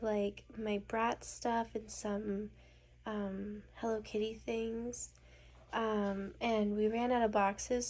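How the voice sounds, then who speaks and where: Slow-talking, slightly nasal woman vlogger. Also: fairly high pitch. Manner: informal, rambling, girly slang. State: congested from a cold. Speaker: lifestyle vlogger. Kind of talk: casual chat to camera, room tour.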